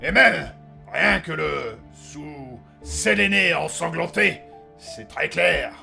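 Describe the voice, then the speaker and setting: A man's voice: fairly high pitch, lively intonation, slow pace, gravelly, sharp tone, soft background music, exaggerated voice. A man playing a crude, grumpy elf sailor captain in a French comedic fantasy audio drama, blunt and slangy. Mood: wry, knowing. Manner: blunt, slangy, hesitant delivery.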